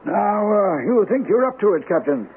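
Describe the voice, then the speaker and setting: A genial, lilting sanitarium doctor. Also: male voice, high-pitched, big pitch swings, moderate pace. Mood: reassuring. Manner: encouraging, chatty, with questioning tags. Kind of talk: old-time radio drama acting. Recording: thin, tinny old radio broadcast, faint hiss.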